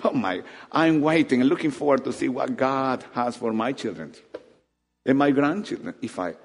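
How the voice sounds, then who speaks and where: Animated preacher in a reverberant hall. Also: male voice, mid-range voice, moderate pace, slightly rough. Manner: animated preaching with rising emphatic peaks and soft asides. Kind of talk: sermon. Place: church hall. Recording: long reverb of a church hall.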